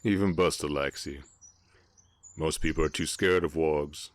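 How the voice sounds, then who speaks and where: Man playing a very deep-voiced Southern orc ranch hand. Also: dry recording. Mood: tender, earnest. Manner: halting, polite, soft-hearted drawl with folksy turns. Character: big strong gentle orc ranch hand, shy and guarded. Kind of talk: intimate ASMR romance roleplay, Western setting.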